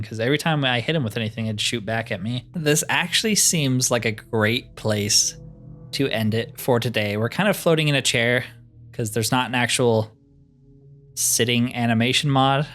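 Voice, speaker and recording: A man's voice: medium pitch, a bit bright. A man giving casual, chatty gameplay commentary as a video host, thinking aloud in an easygoing way.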